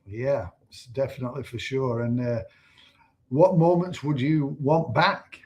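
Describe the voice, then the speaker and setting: A man's voice: mid-range voice, steady tone, a little slow, darkish tone. A middle-aged man with an English accent, co-hosting a livestream in casual chat. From Manchester, England. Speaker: co-host, online business owner.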